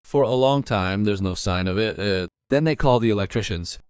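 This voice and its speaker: A man with a fairly low voice narrates a cartoon family tale. The recording is close and dry, and he tells it as a scripted story. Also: moderate pace, darkish tone, smooth, no room sound.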